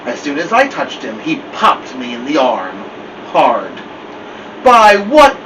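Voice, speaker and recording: A man's voice: medium pitch, very animated, measured pace. A man reading a novel aloud as first-person storybook narration. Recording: dull, distant mic with loud air-conditioner hum.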